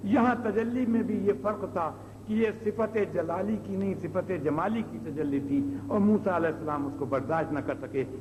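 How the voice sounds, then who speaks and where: Male Islamic preacher, high voice, explains step by step with rhetorical questions, noisy old cassette sound. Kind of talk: sermon, explaining religious stories. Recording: old noisy cassette, long hall reverb.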